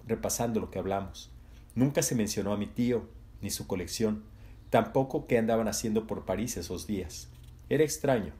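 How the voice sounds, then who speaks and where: Dark-voiced man reading a novel. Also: fairly low pitch. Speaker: audiobook reader. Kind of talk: scripted narration.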